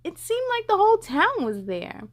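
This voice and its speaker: A woman's voice: very high voice, wildly swooping pitch, normal speed. A woman hosting children's story time, reading expressively and dramatically and chatting directly to young viewers.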